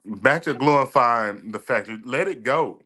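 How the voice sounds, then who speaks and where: A man's voice: medium pitch, animated, measured pace, sharp tone. A man co-hosting a podcast, chatting casually and telling stories in an emphatic, profane way. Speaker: podcast co-host. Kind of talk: casual chat.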